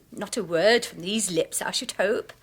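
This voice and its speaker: An actress playing a scheming, sharp-tongued wife who speaks with a sly, taunting edge. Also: female voice, mid-range voice, unhurried, husky. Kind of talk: 1980s radio drama acting.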